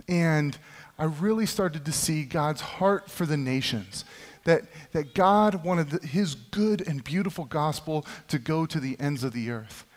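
A man in his thirties preaching a sermon to a congregation, telling funny self-deprecating stories. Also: a little high. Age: 30s. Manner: self-deprecating, humorous storytelling. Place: church hall with long reverb. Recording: reverberant church hall.

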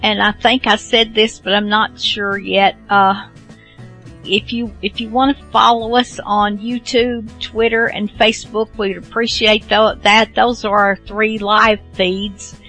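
A woman radio host with a bright, creaky voice talks at a slow pace. She rambles casually through an unscripted introduction, halting often, with music behind her. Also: medium pitch, level tone, low-quality recording. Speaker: host of an old-time radio show.

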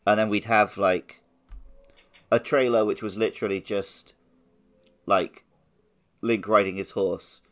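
A man's voice: on the low side, steady tone, unhurried, pauses often, fairly bright tone. A man co-hosting a podcast, talking in a casual, conversational way with lots of "like". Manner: conversational, peppers talk with "like". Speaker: podcast host.

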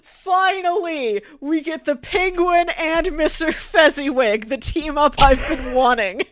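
A woman's voice: high-pitched, slow pace, a bit bright. A woman co-hosting a podcast, chatting casually about comics and films. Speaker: podcast co-host.

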